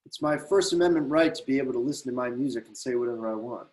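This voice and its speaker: A man's voice: medium pitch, fast talker, a little mellow, natural voice, poor audio. A man voicing a rowdy, defiant man who taunts police officers in a script reading. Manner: mocking, belligerent taunts. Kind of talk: readers theatre script reading.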